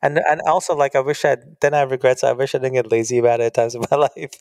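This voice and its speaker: Earnest man with gravelly voice. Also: medium pitch, fast, dry recording, clean studio sound. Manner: earnest, animated, self-interrupting. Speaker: author and guest. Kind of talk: casual interview chat.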